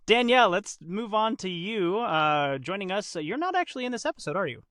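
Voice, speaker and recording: A man hosting a podcast, interviewing casually with an excitable, gushing manner. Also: sits high, a little fast, no room sound, studio quality. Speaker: podcast host.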